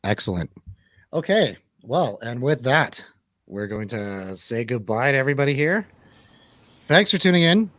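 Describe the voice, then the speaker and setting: A man with a mid-pitched voice hosts a movie review show. He chats casually and jokes around, playfully bantering as he goes. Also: big pitch swings, normal speed, a bit bright, no room sound. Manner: jokey, playful. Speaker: radio show host and DJ.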